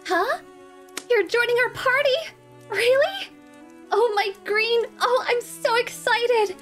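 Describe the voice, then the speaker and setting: A woman playing an earnest, idealistic, excitable young female adventurer in a fantasy roleplay, with a slightly nasal voice. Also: very high-pitched, slow, soft background music, voice pushed up, heavily acted. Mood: excited. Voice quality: nasal from a cold. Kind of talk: roleplay audio drama, cute.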